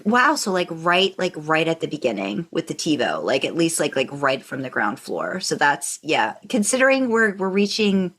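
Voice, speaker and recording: A woman hosting a casual chat, responding to a guest in a halting, loosely phrased way. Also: low-pitched, sing-song, fairly bright tone. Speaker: podcast host.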